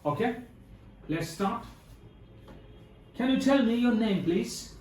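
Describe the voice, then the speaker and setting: Man explaining the test slowly. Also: very high-pitched, lively intonation, a little mellow, a little hiss or hum. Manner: slow, instructive, repeating phrases. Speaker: IELTS examiner, teacher. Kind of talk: mock speaking test. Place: exam room indoors. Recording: echoey room, faint ambience.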